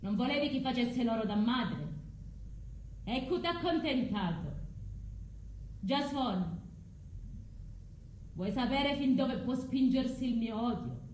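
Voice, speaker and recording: A woman's voice: a little high, animated, slow pace, pauses often, darkish tone. A woman playing a vengeful, scornful betrayed wife and mother in a stage tragedy, cold and taunting in manner. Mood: mocking, bitter. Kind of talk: theatrical tragic monologue. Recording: roomy stage, faint background noise.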